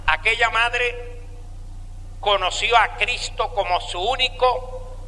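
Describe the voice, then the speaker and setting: Slow, bright-voiced Cuban preacher in a hall. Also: male voice, high-pitched. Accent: Cuban Spanish. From Cuba. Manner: slow, deliberate preaching with dramatic pauses. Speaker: preacher giving a testimony. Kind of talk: sermon testimony.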